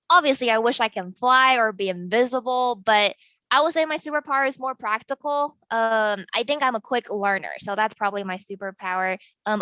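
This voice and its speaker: A young woman talks casually and explains as she goes. Her voice is fairly high and bright, with a smooth, clear tone. The sound is dry and slightly dull. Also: no echo. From Taiwan. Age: 20s. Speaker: tech company employee being interviewed. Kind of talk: casual explanatory talk about work.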